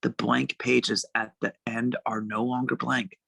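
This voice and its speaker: A man narrating a screenplay's stage directions at a table read, with a gravelly voice. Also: medium pitch, flat delivery, a little slow, sharp tone, dry recording.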